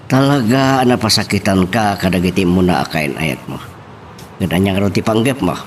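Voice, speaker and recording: A man's voice: sits low, moderate pace, a little hiss or hum, close to an everyday voice. A man playing an inquisitive father in an Ilocano audio drama. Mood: questioning. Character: inquisitive father of a grown daughter.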